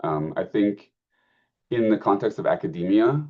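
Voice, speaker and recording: A man's voice: fairly low pitch, moderate pace, narrow, tinny audio. A man answering as a panelist, thoughtful and searching for words.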